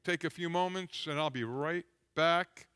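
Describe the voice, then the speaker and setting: Casting: fairly high male voice, unhurried, leading a worship service in a synagogue with guitar behind him. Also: a bit bright, clear, resonant tone, no echo, clean studio sound. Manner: sings slow repeated lines, then talks casually and quickly. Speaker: service leader. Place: synagogue sanctuary. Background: guitar music.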